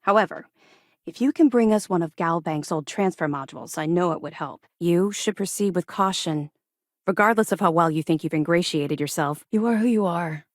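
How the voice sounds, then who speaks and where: Sci-fi game role: earnest female fleet officer voiced by a woman, lowish pitch, dry close sound, crisp and matter-of-fact. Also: moderate pace, no room sound, close to an everyday voice. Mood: businesslike. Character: disciplined, earnest female space-navy officer, former pirate crew. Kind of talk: video game character dialogue.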